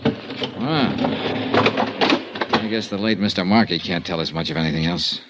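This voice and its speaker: A man's voice: low-pitched, normal speed, dull audio, heavy background noise, lightly acted. An actor playing a dry, wry male insurance investigator in a 1950s radio drama, narrating in a clipped report style. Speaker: narrator and lead.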